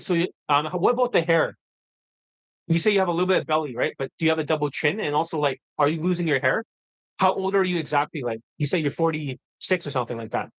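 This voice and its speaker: A man's voice: on the high side, a bit bright, rough recording. A man coaching on dating in a livestream monologue, blunt and lecturing, swearing casually.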